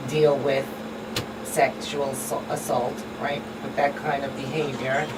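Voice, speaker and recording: A woman with a notably low voice co-hosts a radio discussion. She sounds a little away from the microphone, and heavy ventilation noise runs behind her. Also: little pitch movement, measured pace. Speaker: talk show co-host. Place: small radio studio. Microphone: studio microphone on boom arm. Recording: distant mic, loud air-conditioning hum.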